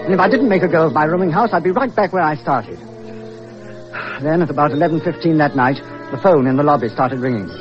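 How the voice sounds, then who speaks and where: An actor playing an anxious, excitable man who runs a rooming house, in an old-time radio thriller. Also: fairly high pitch, quick pace, close to an everyday voice. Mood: troubled, narrating. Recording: dull old radio recording, music behind.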